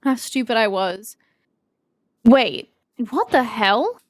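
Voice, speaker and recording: A woman's voice: fairly high pitch, expressive, slow. A woman game streamer giving casual, informal and reactive commentary as she plays.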